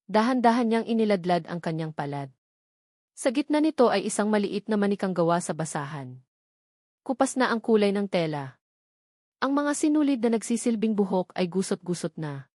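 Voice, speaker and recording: A woman's voice: mid-range voice, expressive, normal speed, a little mellow, no echo, studio quality. A woman narrating a dramatic scripted love story.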